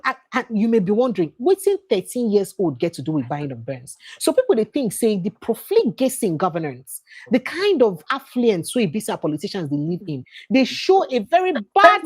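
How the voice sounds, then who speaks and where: Lively husky Nigerian woman host. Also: mid-range voice. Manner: animated, mixes in pidgin phrases. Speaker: livestream host. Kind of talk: casual live panel chat.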